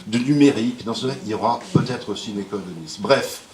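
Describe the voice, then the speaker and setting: A man's voice: on the low side, fast talker, darkish tone. A man giving a rambling, hesitant speech to an audience at a book launch. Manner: rambling, hesitant, with jokes and praise. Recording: roomy hall with faint ambience.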